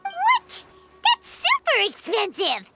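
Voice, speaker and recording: A woman voicing a tiny, childlike, excitable fairy companion in a video game, squeaky and easily indignant. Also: slow pace, full character voice. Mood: alarmed. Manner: squeaky, childlike, speaks of herself in third person. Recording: music clearly behind the voice.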